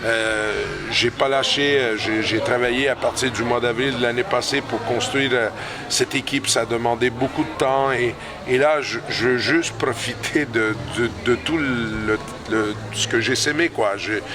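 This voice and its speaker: A middle-aged hockey coach, answering post-game interview questions slowly, with many pauses and restarts. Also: male voice, medium pitch. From Canada. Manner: hesitant, many fillers and restarts. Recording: loud crowd chatter behind.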